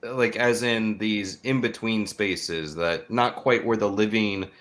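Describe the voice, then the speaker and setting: A man hosting a conversational podcast interview, feeling his way through questions in a halting manner. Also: fairly low pitch, fairly even intonation, fairly bright tone.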